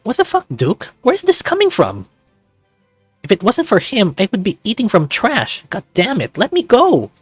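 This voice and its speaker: Man voicing a flustered furry newcomer, pitched up. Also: huge pitch swings, normal speed, husky, no room sound, faint music behind, heavily acted. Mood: indignant. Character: nervous, earnest male furry newcomer at a lab.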